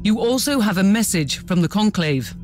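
A man's voice: high-pitched, animated, normal speed, a little mellow, faint music behind. An actor playing a dutiful, formal and alert male starship captain in a video game. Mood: reporting. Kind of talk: video game cutscene acting.

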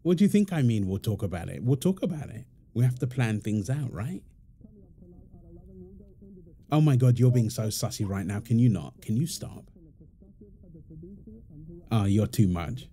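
A man's voice: lowish voice, big pitch swings, normal speed, pauses often, dark tone, a little hiss or hum. A man playing a playful, teasing young husband and doting father in an intimate, affectionate domestic ASMR roleplay. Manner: teasing, affectionate, chuckling banter. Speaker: roleplay audio performer.

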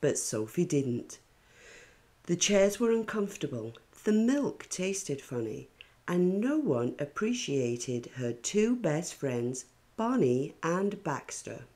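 A middle-aged woman reading a children's picture book aloud with expressive narration. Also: low voice, slow pace. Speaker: children's story reader.

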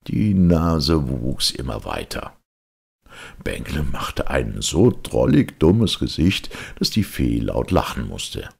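Guy reading a kids story, low raspy voice, unhurried, really theatrical. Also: darkish tone. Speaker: audiobook narrator. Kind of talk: expressive children's storytelling.

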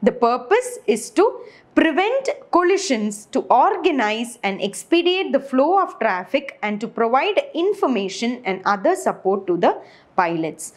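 A woman's voice: fairly high pitch, very animated, unhurried. A woman in her twenties with an Indian accent giving an instructional lecture, explaining step by step as a teacher. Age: 20s. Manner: explanatory, rhetorical questions to structure points. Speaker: aviation lecturer.

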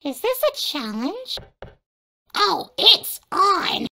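A very high, bright voice from an actor plays a cocky, slightly dim cartoon boyfriend. The delivery is brash and lively, swinging up and down in pitch like a game cutscene hero eager for a challenge. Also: extremely slow, exaggerated voice. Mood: cocky, excited. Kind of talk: animated game cutscene voice acting.

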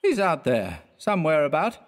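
A man doing a high, maudlin drunk young man. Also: huge pitch swings. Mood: maudlin tipsy. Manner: slurred, halting, repeating words. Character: drunk young male artist, maudlin and rambling.

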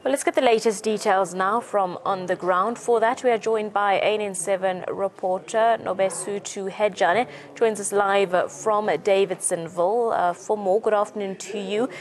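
A woman's voice: medium pitch, fairly even intonation, moderate pace, few pauses. A South African woman in her thirties presenting live news as a studio anchor.

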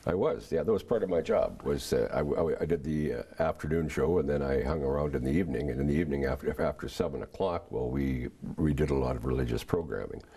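An older man giving a testimony in a TV studio interview, answering in a halting way with many restarts. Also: a bass voice, lively intonation, warm tone, slightly rough. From Canada. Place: small TV studio set, indoors.

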